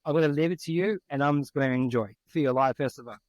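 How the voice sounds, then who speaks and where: A man's voice: medium pitch, fast talker, darkish tone, no room sound. A man hosting a podcast, interviewing casually with an informal, teasing way of talking. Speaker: podcast host.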